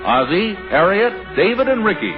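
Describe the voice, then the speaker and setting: A man's voice: sits high, very animated, moderate pace, music in the background. An American man narrating a 1950s radio sitcom as announcer, genial and wry. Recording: muffled old radio broadcast.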